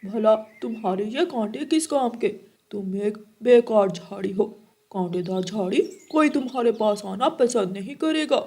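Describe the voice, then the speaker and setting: A woman's voice: fairly high pitch, lively intonation, a little slow, mellow, slightly rough, exaggerated voice. A woman voicing a cheeky young male crow in a cartoon, taunting and boastful. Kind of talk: Hindi moral cartoon character acting.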